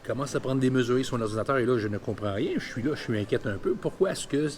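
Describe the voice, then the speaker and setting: A man's voice: medium pitch, very fast, darkish tone. A man of about fifty with a Quebec French accent, telling a personal story candidly and colloquially in a vlog. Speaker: vlogger.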